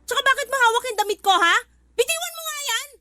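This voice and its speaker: A woman's voice: very high voice, lively intonation, quick pace, music in the background. A woman playing a hot-tempered, resentful older sister in a Tagalog radio drama, scolding with a sarcastic, combative tongue. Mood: indignant, scolding.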